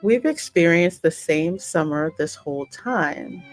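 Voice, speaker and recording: A woman gaming host with a fairly low voice and game music behind her. She is chatty and playful, moving between reading dialogue and casual teasing commentary. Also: lively intonation, measured pace.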